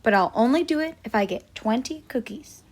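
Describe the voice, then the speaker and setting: A woman's voice: a little high, expressive, brisk. Lady doing a bored grumpy kid bunny, sulky, brushes everything off, storybook style. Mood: grudging. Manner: sulky, dismissive.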